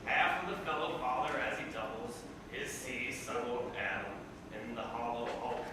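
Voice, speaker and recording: A man's voice: medium pitch, lively intonation, normal speed. Mock-solemn man reading in a very echoey room. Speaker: storyteller reading to a live audience. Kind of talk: comic literary reading on stage. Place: echoey venue before a live audience. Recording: very echoey room, distant mic, noisy.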